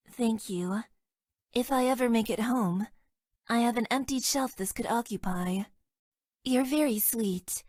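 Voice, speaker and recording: A woman voicing a detached, self-deprecating young female mecha pilot in a video game, with deadpan, stilted and hesitant delivery. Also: fairly high pitch, dry recording, clean studio sound. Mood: deadpan, resigned. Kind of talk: video game character voice lines.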